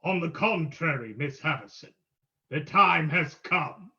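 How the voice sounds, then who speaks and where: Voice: mid-range voice, expressive, a little slow, sharp tone, muffled recording. An actor playing a mocking, commanding invisible crime-fighter in an old-time radio mystery, making ominous, taunting pronouncements.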